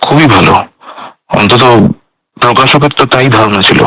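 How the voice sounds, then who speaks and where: A man's voice: medium pitch, moderate pace, sharp tone, no echo, rough recording. An actor playing a guarded, polite male secretary in a radio drama, answering carefully and defensively. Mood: defensive, earnest.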